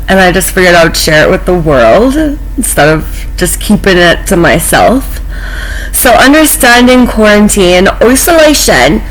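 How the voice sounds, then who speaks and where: A woman's voice: mid-range voice, low-quality recording. A woman from Canada vlogging informally, reading aloud and adding casual, offhand asides. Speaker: vlogger sharing a fan song and reading news.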